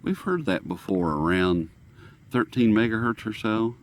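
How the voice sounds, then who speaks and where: A man's voice: fairly low pitch, animated, measured pace, slight background noise. A man hosting a hobby channel, giving a casual, unscripted demonstration in a folksy, rambling way as he muses aloud. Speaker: radio hobbyist channel host. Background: shortwave radio being tuned.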